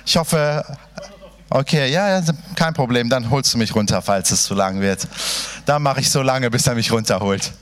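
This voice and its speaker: A man's voice: medium pitch, normal speed, clear, resonant tone. Passionate Iran-born pastor, man. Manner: passionate, chatty, addresses listeners directly. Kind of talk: sermon introduction. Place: church.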